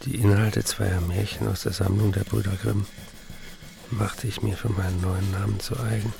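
A man's voice: fairly low pitch, little pitch movement, moderate pace, vocal fry. An actor playing a troubled writer who recounts his life with weary, bitter irony in a literary radio play. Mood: wry, measured.

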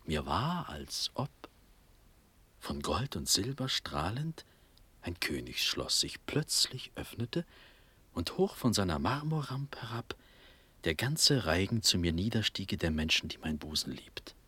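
An actor playing a dazed, dreamy young Prussian prince in a verse radio drama, fervent and halting, with breathy speech drifting into whispers. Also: male voice, deep voice, very animated, pushed and bright, exaggerated voice. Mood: dreamy, searching. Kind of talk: classical German stage verse radio drama.

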